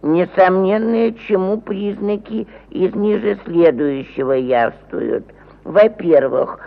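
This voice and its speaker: Pompous aggrieved landowner, tinny old recording. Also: male voice, high-pitched, gravelly, heavily acted. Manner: pompous, ponderous, with stumbles over words. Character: pompous, aggrieved provincial landowner reading out his petition. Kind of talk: theatrical mid-century radio play acting. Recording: phone-like narrow-band old 1950 radio recording.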